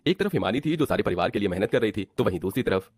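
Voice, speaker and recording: Very fast, animated male story narrator. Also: on the low side, no room sound. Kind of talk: scripted cartoon story narration.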